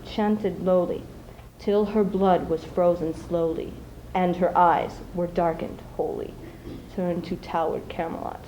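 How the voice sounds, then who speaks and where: A teenage girl, a high school student, recites poetry in a theatrical, measured way at a live event. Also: dark tone, poor audio. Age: teens. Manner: theatrical, measured, with dramatic dynamics. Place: live school showcase before an audience. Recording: faint noise, live event ambience.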